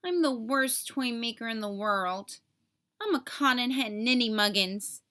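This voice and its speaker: A woman voicing an excitable, childlike man raised as an elf, earnest and naive, in a storybook reading. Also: very high-pitched, measured pace, full character voice. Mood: dejected.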